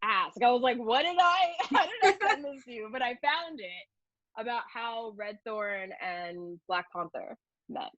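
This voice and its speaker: A woman's voice: fairly high pitch, lively intonation, unhurried, a bit bright, no room sound. Casual woman interviewer, dull dry audio.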